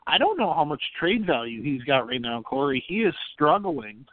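A man's voice: fairly high pitch, big pitch swings, moderate pace, no room sound. An American man hosting a sports podcast, putting questions to a guest in a conversational way. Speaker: podcast host and interviewer. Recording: narrow, tinny phone-like sound.

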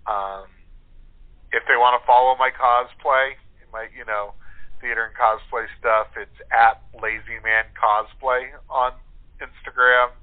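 A man's voice: medium pitch, steady tone, a little slow. Rambling man on a tinny phone call. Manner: rambling, self-correcting. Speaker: guest, playwright and wedding DJ. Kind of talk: casual interview chat.

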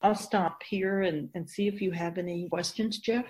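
A woman playing a wise otherworldly spirit in a radio drama, explaining things patiently in a measured, knowing way. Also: lowish voice, fairly even intonation, a little mellow, close to an everyday voice, rough recording. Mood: didactic. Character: Keeper of Souls, wise otherworldly spirit in female voice.

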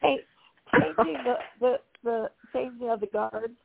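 A woman's voice: on the high side, slow. A woman hosting a casual call-in radio chat, losing her voice, chatty and laughing often. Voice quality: gravelly, husky. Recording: tinny dry phone line.

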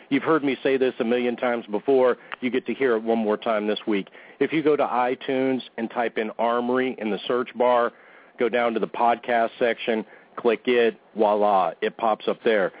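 A man hosting a wrestling talk show, joking around and reading plugs in a goofy, self-deprecating way. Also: mid-range voice. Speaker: podcast host. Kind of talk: casual radio-show banter and announcements. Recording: tinny phone-like line.

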